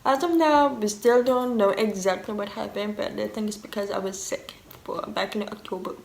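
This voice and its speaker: A woman's voice: mid-range voice, normal speed, creaky, echoey room. A woman in her twenties from Canada, a native French speaker with a French and deaf accent, talking candidly in a casual vlog. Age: 20s. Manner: candid, fillers and restarts, quoting others. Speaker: vlogger.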